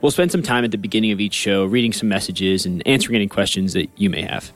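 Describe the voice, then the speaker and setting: A man's voice: fairly low pitch, fast talker, faint music behind. A man co-hosting a podcast, reading listener mail and asking questions with a chatty, filler-heavy manner. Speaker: podcast co-host.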